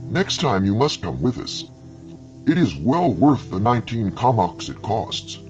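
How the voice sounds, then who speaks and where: A man's voice: fairly low pitch, big pitch swings, measured pace, darkish tone, soft background music. A man voicing a contented alien creature father, explaining things with assurance in a storybook reading. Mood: reassuring. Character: male alien horse-spider creature, contented, reassuring father. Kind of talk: dramatised storytelling.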